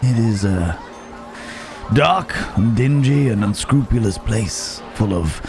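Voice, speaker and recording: A man playing a roguish, wry but protective Wild West cowboy in an ASMR roleplay, with a halting, teasing drawl. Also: on the low side, expressive, creaky, music in the background, heavily acted. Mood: dark, knowing. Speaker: audio roleplay voice actor. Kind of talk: ASMR roleplay acting, addressed to the listener.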